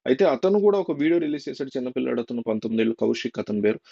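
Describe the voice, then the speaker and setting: A man's voice: medium pitch, animated, racing, creaky, mellow, dull audio. A man giving informal, earnest and opinionated spoken news commentary for a video.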